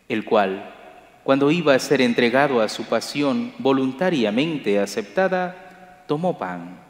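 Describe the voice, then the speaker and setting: A middle-aged priest celebrating Mass at the altar, reciting liturgical prayers in a measured, solemn way. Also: male voice, mid-range voice. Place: large church sanctuary, at the altar. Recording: echoey church with long reverb.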